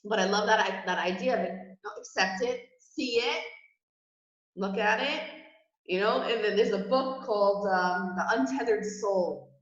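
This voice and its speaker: A woman's voice: medium pitch, normal speed. A woman co-hosting a casual chat, giving earnest, reflective advice to clients and listeners. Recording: echoey, slightly muffled room.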